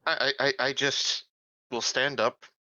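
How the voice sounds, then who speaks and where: A man's voice: mid-range voice, fairly even intonation, unhurried, sharp tone, no room sound, rough recording. A man playing a tabletop roleplaying game, casually describing his character's actions and chatting with the group.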